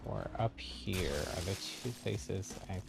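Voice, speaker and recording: Low, gravelly man streaming a game. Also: slow pace, heavy background noise. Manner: explanatory, hedging, thinking aloud. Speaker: game livestreamer. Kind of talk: casual gameplay commentary.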